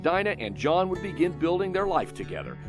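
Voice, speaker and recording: An American male reporter tells a human-interest story in scripted, dramatic fashion. His pitch moves in lively sweeps through short, emphatic phrases and pauses. Music plays softly under his voice. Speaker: news reporter narrating a feature story.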